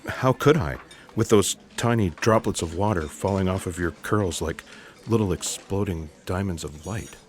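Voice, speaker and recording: An actor as an earnest male special agent in a comic sci-fi audio drama. His voice is low. He speaks with courteous, deadpan calm and awkward frankness. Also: moderate pace, lightly acted. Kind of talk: comedic sci-fi audio theatre acting.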